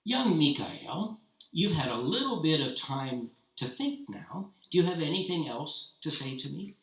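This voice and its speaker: A man's voice: a little high, sing-song, unhurried, pitched above the performer's own voice. A man voicing a cruel, haughty tsar who talks in an imperious, mocking way. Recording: roomy, distant mic.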